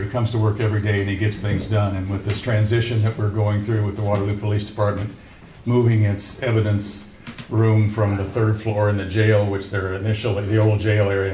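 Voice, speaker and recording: A man in his sixties, a mayor chairing a city council meeting in a casual, halting way with restarts and fillers. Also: lowish voice, a little mellow. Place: council chamber. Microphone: desk microphone. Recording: roomy chamber, faint noise.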